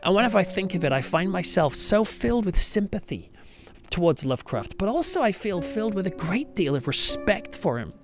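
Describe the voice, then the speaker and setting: A man's voice: high voice, sing-song, fairly quick. A man hosting a podcast in a reflective, semi-scripted monologue, earnest and thoughtful, with emphatic, dramatic phrasing. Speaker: podcast host, church pastor. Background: background music.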